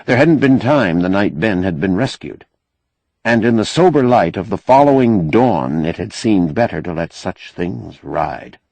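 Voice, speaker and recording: Male narrator for fiction audiobook: animated intonation, unhurried pace, dry close sound. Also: fairly low pitch, creaky, no room sound, poor audio. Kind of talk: expressive scripted fiction narration.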